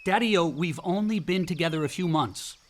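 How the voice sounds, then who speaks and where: Man acting a higher-voiced, sardonic young son. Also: moderate pace, a little hiss or hum, close to an everyday voice. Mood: exasperated. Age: young adult. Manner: casual, slangy, profane. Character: young adult son, outdoorsy, sardonic, easily exasperated. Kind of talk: naturalistic radio play acting.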